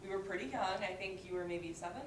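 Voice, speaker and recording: Woman acting affectionate chatty older sister, stage play reading, mid-pitched voice, roomy sound, noisy background. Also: fast, recorded from a distance, lightly acted. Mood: playful, nostalgic.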